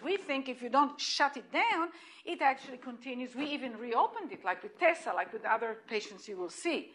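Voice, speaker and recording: A middle-aged woman with an Israeli accent, giving an animated lecture in a room, heard from a distant camera. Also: high voice. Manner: animated and emphatic, with restarts and repeated points. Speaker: lecturer at hospital grand rounds. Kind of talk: lecture presentation.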